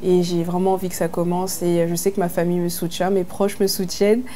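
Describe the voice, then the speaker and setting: A woman from Brazzaville, soft-spoken and shy, talking to camera in a reality-show confessional. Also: lowish voice, level tone, fairly quick, slight background noise. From Republic of the Congo, Brazzaville.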